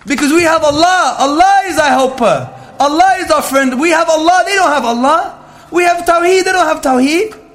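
High-pitched man preaching emphatically. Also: normal speed, fairly bright tone. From Greek heritage. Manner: emphatic, rhetorical, peppered with Arabic phrases. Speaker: Islamic lecturer. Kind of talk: religious talk to an audience, with questions.

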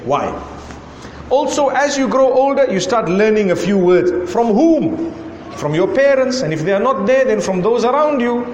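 Zimbabwean guy giving a sermon, fairly high voice, keeps asking why and how come, hall echo all around. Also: lively intonation, normal speed, a little mellow, slight background noise. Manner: rhetorical questioning, repeating "why" and "how come". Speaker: preacher.

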